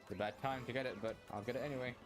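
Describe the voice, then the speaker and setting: Offhand male gamer, medium pitch. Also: steady tone, racing, over music. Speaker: streamer reacting to a game. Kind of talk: casual gameplay commentary.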